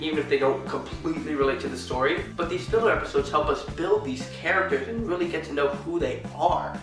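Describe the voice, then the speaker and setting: A man's voice: on the high side, distant mic. Stuffy-voiced man in an echoey room. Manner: chatty and enthusiastic, with sarcastic asides. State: stuffy, sick voice. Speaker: vlogger. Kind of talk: casual vlog commentary. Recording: echoey room, away from the mic, background music. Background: background music.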